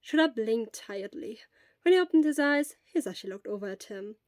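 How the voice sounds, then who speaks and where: A woman narrates fiction in an expressive, read-aloud style. Her voice is on the high side, and the recording is close and very dry. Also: brisk, no room sound. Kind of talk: expressive scripted story narration.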